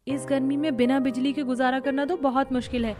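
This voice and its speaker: Woman playing a high-voiced young cartoon daughter-in-law. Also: little pitch movement, a little fast, darkish tone, lightly acted. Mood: fretful. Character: young daughter-in-law, fretful about household hardship. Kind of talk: Hindi family cartoon acting.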